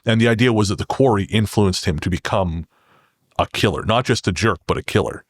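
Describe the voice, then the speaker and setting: A man chatting as a podcast guest about his writing, rephrasing mid-sentence in an earnest, halting way. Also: lowish voice, no room sound, clean studio sound. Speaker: podcast guest, horror fiction writer. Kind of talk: casual podcast conversation, storytelling.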